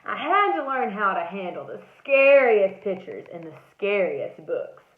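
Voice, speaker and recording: A woman's voice: very animated, unhurried. Lady doing a dramatic scaredy bookmark guy for a kids book, super up and down, bit muffled and echoey. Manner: theatrical, rising from hushed suspense to high cries. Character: once-terrified male storybook bookmark, dramatic, earnest, plucky. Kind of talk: animated picture-book read-aloud. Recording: roomy echo, slightly dull lo-fi sound.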